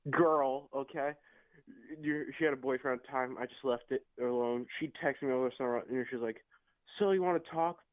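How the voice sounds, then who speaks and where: A deep-voiced young man calls in from his bed over a tinny, phone-like line, and the sound is dry and close. He talks in a loose, crude way and drags out some of his words. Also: brisk, no echo. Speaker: caller. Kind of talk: phone call-in.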